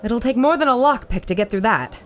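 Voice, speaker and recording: Plucky high-voiced girl reporter played by a woman. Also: lively intonation, brisk, natural voice. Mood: confiding, assured. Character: plucky, self-justifying female radio reporter adventurer. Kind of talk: old-time radio reporter acting.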